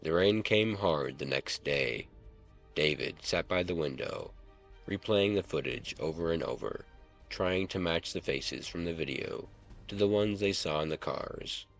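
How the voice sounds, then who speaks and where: A man narrating a scripted story in a very deep, gravelly voice. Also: a little slow, soft background music.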